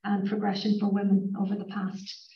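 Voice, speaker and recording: A woman's voice: medium pitch, monotone, normal speed, warm tone. A woman with a Dublin accent speaking on a university panel, earnest and full of "you know" fillers. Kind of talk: panel discussion. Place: conference room, indoors. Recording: echoey room mic from far off, dull sound.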